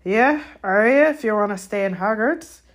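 A woman voicing a big, gruff male gamekeeper with rustic, commanding speech for a storybook reading. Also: medium pitch, normal speed, voice pushed up, natural voice. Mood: fierce. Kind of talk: audiobook character voice.

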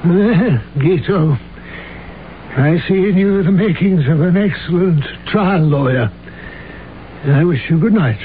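An actor playing a stern, formal older uncle, an attorney with an authoritative manner, in old-time radio drama style. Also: male voice, on the high side, lively intonation, measured pace, long pauses. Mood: gruffly appeased. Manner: clipped, authoritative, dryly formal. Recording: tinny, faintly noisy vintage radio recording.

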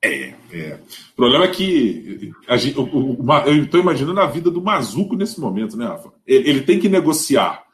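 Animated Brazilian host, lively pitch. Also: male voice, brisk. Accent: Brazilian Portuguese. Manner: animated, restarts and repeats words. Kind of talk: casual live-stream football chat.

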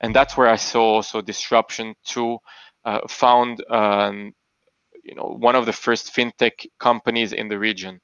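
Man from Belgium, 30s, low and gravelly, thoughtful with many fillers, dull dry recording. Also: level tone, unhurried, fairly bright tone, dry recording. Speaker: podcast guest, tech investor. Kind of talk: interview answers.

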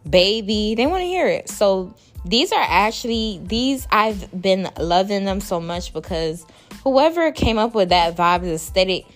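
A young woman with a Caribbean accent and a soothing voice, hosting a casual advice chat. She talks in a chatty, playful way, laughs often and jumps up in pitch to stress a point. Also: lively intonation, unhurried, soft background music. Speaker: podcast host.

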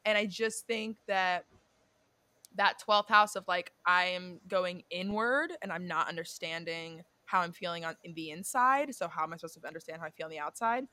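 Chatty young female co-host with a bright tone and a dry close recording; swears and rambles. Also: lowish voice, moderate pace, no room sound. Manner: chatty, swears freely, drifts off and self-corrects. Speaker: podcast co-host. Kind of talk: casual chat about a reality show and astrology.

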